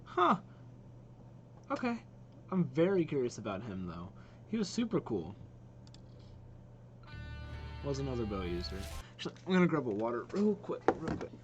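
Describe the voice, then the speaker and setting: A young adult man hosting a reaction video with casual, expressive, informal commentary. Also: mid-range voice, normal speed, pauses often, highs cut off, over music.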